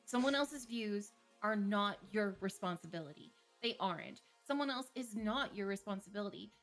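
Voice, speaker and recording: A woman therapist speaking as a guest and giving calm, measured advice on a live stream. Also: mid-range voice, soft background music. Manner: slow, measured, reassuring explanations.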